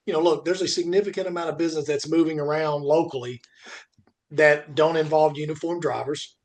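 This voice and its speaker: A man with a fairly high voice co-hosts a casual industry discussion. He rambles through his points, circling back and repeating phrases to press them home. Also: fairly even intonation, fairly quick. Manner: rambling, emphatic with repetition. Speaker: podcast co-host.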